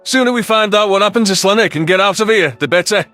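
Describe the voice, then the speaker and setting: A man voicing a brisk, impatient male squad member who talks in a pushy, clipped way. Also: high voice, pushed and bright, voice pushed up. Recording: music under the voice.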